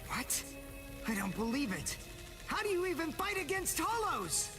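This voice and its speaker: A man's voice: very high-pitched, sing-song, moderate pace. A young man playing a brainy, intense teenage spirit archer in an anime game dub, analytical and dramatic. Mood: baffled. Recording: echoey, with game music behind. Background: game music.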